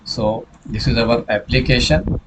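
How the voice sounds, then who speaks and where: Guy doing a software training walkthrough, lots of hesitating and repeating himself, mid pitch, pretty noisy audio. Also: steady tone. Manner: halting, repetitive, frequent hesitations and self-corrections. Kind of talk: hands-on software tutorial narration.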